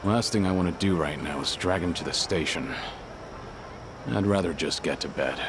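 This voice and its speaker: A man's voice: low-pitched, moderate pace, background noise. An actor playing a stoic, weary but kind older tough guy in an English-dubbed video game, terse and understated. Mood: weary, firm. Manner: terse, understated, matter-of-fact.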